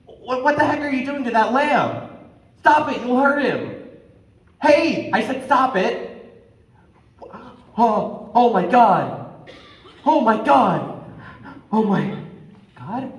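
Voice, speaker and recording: A man's voice: medium pitch, sing-song, measured pace. An actor playing Adam, the naive first man, speaking whimsically and singing with wonder in a stage musical. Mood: alarmed. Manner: whimsical, wistful, sings with wonder. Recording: roomy, distant stage sound with orchestra.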